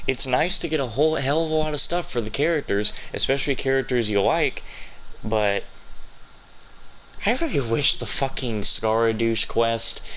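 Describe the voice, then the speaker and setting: A man's voice: medium pitch, a bit bright, slight background noise, low-quality recording. A man giving casual gaming playthrough commentary in an informal, griping, sweary manner. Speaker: gaming video creator.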